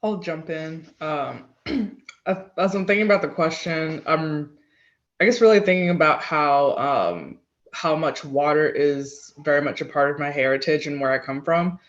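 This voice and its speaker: A man's voice: a little high, animated, moderate pace, fairly bright tone, muffled recording. A man sharing in a group call, hesitant, with lots of fillers. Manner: hesitant, lots of ums and likes. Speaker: workshop participant.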